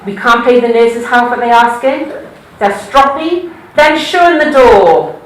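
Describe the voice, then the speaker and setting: A woman's voice: fairly high pitch, unhurried. Woman with a cardiff accent reading poems. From Wales. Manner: lively, chatty asides between poems. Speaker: poetry reader. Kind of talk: live poetry reading. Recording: echoey room.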